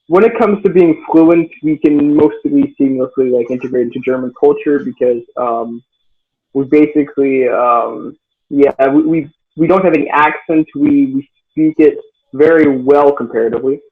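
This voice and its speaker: A man's voice: mid-range voice, moderate pace. A seventeen-year-old guy born and raised in the US, answering interview questions hesitantly with many fillers and restarts over a video call. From United States. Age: teens. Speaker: guest. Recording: tinny phone-like line.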